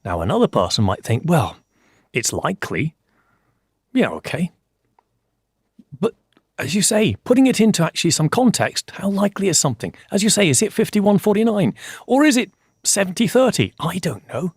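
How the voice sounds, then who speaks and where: An older man from Scotland, a forensic scientist, answering interview questions in a measured, explanatory way and repeating key points for emphasis. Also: sits high, sing-song, breathy edge, dry recording, clean studio sound.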